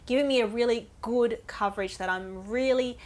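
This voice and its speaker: Chatty, croaky woman vlogger. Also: a little high, normal speed. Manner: chatty, ranting, addresses viewers directly. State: croaky from a cold. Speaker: beauty vlogger. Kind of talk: casual makeup tutorial talk.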